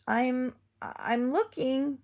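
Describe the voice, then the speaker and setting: Jittery storybook cow, very high and stammering, as a woman puts it on. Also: expressive, unhurried, a bit bright, full character voice. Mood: scared. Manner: stammering, fearful.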